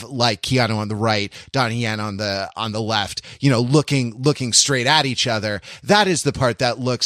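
Guy on a film podcast, mid pitch, dry sound, explaining stuff and rewording as he goes. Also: a bit bright, no echo. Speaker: podcast co-host. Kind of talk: casual film discussion.

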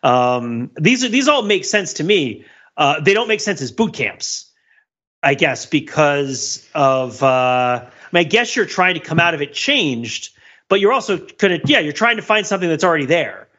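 A man's voice: on the high side, sing-song, moderate pace, bright, forceful tone, breathy edge, dull audio. A man co-hosting a podcast in casual discussion, talking in a wordy, intellectual way and often checking in with "right?". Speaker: podcast co-host.

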